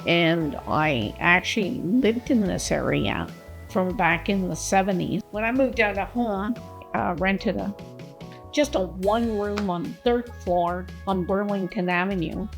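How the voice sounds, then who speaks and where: A woman's voice: mid-range voice, a little slow, gravelly, faint music behind. An older woman relaxedly reminiscing about her neighborhood in an interview. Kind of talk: interview recollection.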